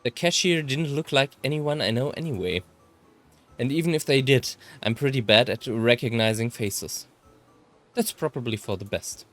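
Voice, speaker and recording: A German man with a German accent reading first-person visual novel text aloud as a let's player, in an expressive way. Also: mid-range voice, normal speed, faint music behind.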